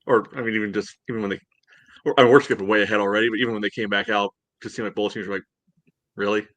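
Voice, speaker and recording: A man co-hosting a sports podcast, giving measured, casual commentary. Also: on the low side, fairly even intonation, a bit bright. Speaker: podcast co-host.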